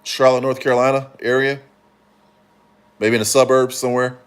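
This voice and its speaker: A man from Chicago hosting a casual livestream, answering comments in a relaxed, rambling way with frequent fillers and restarts. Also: mid-range voice, normal speed, clear, resonant tone. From Chicago, Illinois. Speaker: livestream host.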